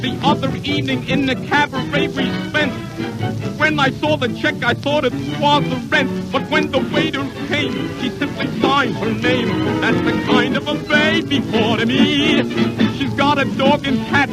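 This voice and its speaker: Man, extremely high singing voice, 1930s vaudeville comic number, loud music behind, old radio broadcast recording. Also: fairly even intonation, unhurried, long pauses, rough recording. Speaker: singer in a revue. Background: loud musical accompaniment.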